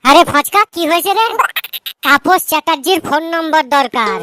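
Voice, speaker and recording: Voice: high-pitched, moderate pace, noisy background, lightly acted. A performer voicing a cheeky, argumentative village youngster with a West Bengal accent in a comedy cartoon. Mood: cheeky, nonchalant. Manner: cheeky, argumentative, mock-innocent. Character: cheeky squeaky village youngsters needling their uncle.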